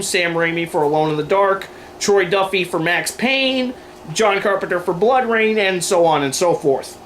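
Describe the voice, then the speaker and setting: High-voiced man in sarcastic commentary. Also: a bit bright. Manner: sarcastic, emphatic. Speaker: video host. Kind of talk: scripted opinion commentary. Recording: noisy room recording.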